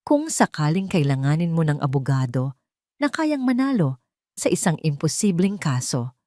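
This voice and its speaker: A woman's voice: low-pitched, very animated, moderate pace, warm tone, no room sound, studio quality. A woman voicing a shrewd father giving grave advice in an audio drama. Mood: grave, admiring. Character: shrewd father advising his daughter, remembered.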